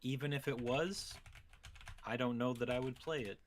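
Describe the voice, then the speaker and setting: A man's voice: mid-range voice, fairly even intonation, normal speed, slight background noise. A man co-hosting a gaming show in casual, conversational banter. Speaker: co-host of a gaming radio show.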